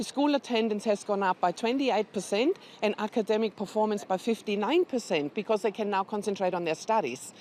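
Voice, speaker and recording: A woman's voice: mid-range voice, a little mellow, a little hiss or hum. Woman explaining charity work factually. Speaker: charity representative, interview guest. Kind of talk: informative interview answers.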